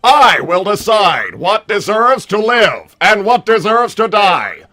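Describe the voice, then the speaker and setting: A man's voice: high voice, very animated, takes it slowly, sharp tone, soft background music, full character voice. A man voicing an arrogant, menacing combat robot who debates in harsh, emphatic, repetitive declarations. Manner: imperious, emphatic, repeating words. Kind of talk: improvised in-character debate.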